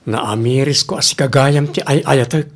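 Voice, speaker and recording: A man's voice: medium pitch, normal speed, lightly acted. A man playing a sheepish young ex-boyfriend in an Ilocano comedy drama, theatrical and pleading.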